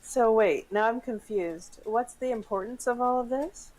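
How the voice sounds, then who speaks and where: A woman's voice: on the high side, measured pace, darkish tone, lightly acted, poor audio. A woman playing an impatient, skeptical surgeon in a screenplay read, matter-of-fact and questioning. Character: impatient, skeptical female surgeon named Alice. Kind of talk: script table read for a screenplay.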